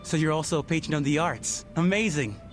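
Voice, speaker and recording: A man's voice: on the high side, lively intonation, a little fast, soft background music, natural voice. An actor voicing a polite, naive, earnest man in his twenties in a video game. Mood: eager, grateful. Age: 20s. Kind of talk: video game cutscene dialogue.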